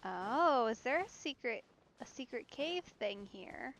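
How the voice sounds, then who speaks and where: A woman's voice: a little high, animated, a little slow, a bit bright, a little hiss or hum. A woman giving casual live gameplay commentary as a streamer, musing and thinking aloud. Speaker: game streamer.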